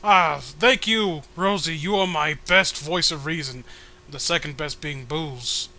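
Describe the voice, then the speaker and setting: A man's voice: on the high side, unhurried, sharp tone. A man voicing an indignant, petulant male hero in a comic read-aloud. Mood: grateful, flippant.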